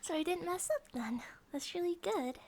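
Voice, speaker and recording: A woman voicing a gentle, affectionate monster-girl bear wife in a soft ASMR comfort roleplay, often dropping to a whisper. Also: very high-pitched, animated, moderate pace. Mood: cuddly, affectionate.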